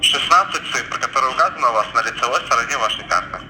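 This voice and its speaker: A man rattles through a formal call-centre script in an ordinary mid-pitched voice. He is officious and insistent, leaning on stock bureaucratic phrases. The phone recording is echoey and clearly noisy. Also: animated, fast talker, bright, forceful tone. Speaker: phone scammer posing as bank call-centre agent. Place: telephone line.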